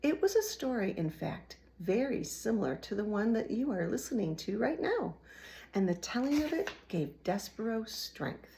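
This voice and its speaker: A woman reading a children's storybook aloud in an expressive way. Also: fairly high pitch, moderate pace, vocal fry. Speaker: children's book reader.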